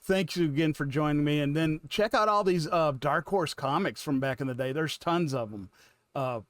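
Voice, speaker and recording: A man's voice: a little high, moderate pace, dry recording. A man giving casual, enthusiastic commentary as a reaction video host, rambling and effusive.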